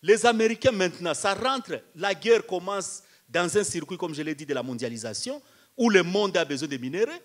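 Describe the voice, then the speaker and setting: A man's voice: sits high, animated, normal speed. A man speaking on a panel at a public debate, explaining didactically with rhetorical repetition and questions.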